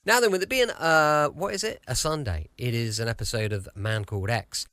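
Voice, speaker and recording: A man's voice: medium pitch, fairly quick, sharp tone, dry recording. A man giving a casual, chatty podcast introduction, chirpy and given to rambling asides. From United Kingdom. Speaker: podcast host. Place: home studio.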